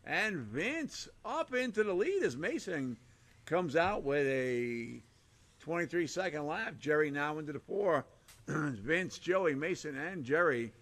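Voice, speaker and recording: A man calling a live RC car race with a hoarse, fading voice, rattling off names and lap times in short bursts. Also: fairly high pitch, sing-song, slow, a bit bright. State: hoarse, losing his voice. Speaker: race announcer.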